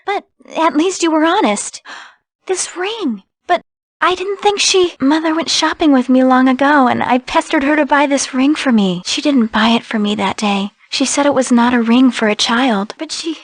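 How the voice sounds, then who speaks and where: Very high-pitched, earnest young woman played by an actress. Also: normal speed, no echo, clean studio sound, close to an everyday voice. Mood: sad, tender. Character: gentle, wistful young woman, earnest and confused. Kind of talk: video game voice acting.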